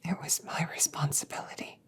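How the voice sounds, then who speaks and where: A woman playing a protective, guilt-ridden female android sharpshooter in a roleplay audio drama, speaking in slow, measured, soothing phrases with a breathy voice. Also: close to an everyday voice. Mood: remorseful. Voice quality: breathy whisper.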